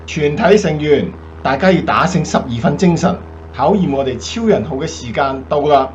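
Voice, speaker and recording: A man acting a steady, earnest American wartime bomber captain in a radio drama. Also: on the high side, moderate pace, highs cut off, slight background noise, lightly acted. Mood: grave, commanding.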